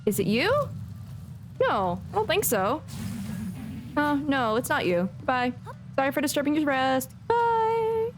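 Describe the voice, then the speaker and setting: A woman's voice: high-pitched, sing-song, a little slow, pauses often. A woman giving casual, chatty live commentary as a game streamer, with playful asides. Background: faint game music.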